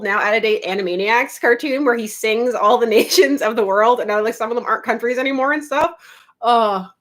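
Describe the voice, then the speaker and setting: A woman from Canada hosting a relaxed livestream from her home studio. She chats freely with her viewers, breaks into frequent giggles and wanders from one topic to the next in an easygoing way. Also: on the high side. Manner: giggly and chatty, laughs often, drifts off topic. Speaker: YouTube livestream host. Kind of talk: casual livestream chat reading viewer comments.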